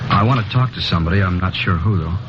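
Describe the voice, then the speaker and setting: A man's voice: sits low, background noise, natural voice. An actor playing a nervous, evasive young man who has come to confess a murder in a 1950s radio drama. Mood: uneasy. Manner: halting, insistent, repeats himself. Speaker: murder suspect. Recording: dull old radio broadcast recording.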